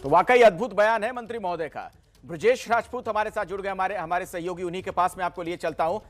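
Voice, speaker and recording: A man's voice: high-pitched, quick pace, faint music behind. A man presenting TV news as an anchor, emphatic and rhetorical in his delivery.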